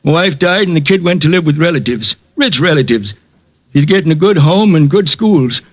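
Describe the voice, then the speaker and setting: An actor playing a frightened, pleading older ex-convict informant in a 1950s radio drama. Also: male voice, a little high, animated, moderate pace. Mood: confessing. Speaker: old informant. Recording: dull old radio transcription.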